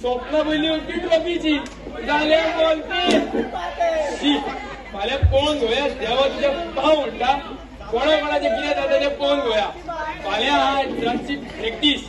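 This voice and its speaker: A man with a Goan accent acting in an amateur stage play as an excitable, grumbling member of a drama group who repeats his phrases. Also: very high voice, normal speed, a loud crowd. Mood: dismayed, musing. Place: stage in a large hall, distant microphone. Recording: echoey hall from far off, audience noise.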